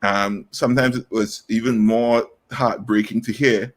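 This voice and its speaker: A man's voice: on the low side, fairly even intonation, measured pace. A man in his thirties from Trinidad and Tobago, answering interview questions earnestly with frequent fillers and restarts. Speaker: guest, inclusive recruitment specialist.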